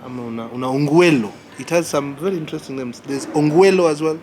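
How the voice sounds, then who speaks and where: A man's voice: on the high side, quick pace, a crowd in the background. A man with a Kenyan accent, chatting casually and teaching slang, often repeating "yeah". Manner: laid-back, repeats words, lots of "yeah". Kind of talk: casual chat. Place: cafe at night.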